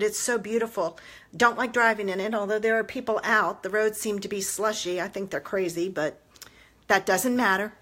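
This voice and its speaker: A woman in her fifties, a little hoarse, chatting casually to viewers in a home craft live stream, recorded on a tablet held at arm's length indoors. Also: on the high side, sharp tone. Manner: chatty, rambling, talks straight to viewers. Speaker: papercraft demonstrator. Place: home living room, indoors. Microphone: phone or tablet camera at arm's length.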